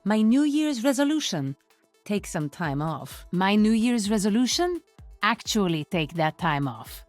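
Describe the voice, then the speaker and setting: A woman playing a confident, teasing young soldier in a video game, crisp and playful. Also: mid-range voice, very animated, unhurried, dry recording, faint music behind. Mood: resolute.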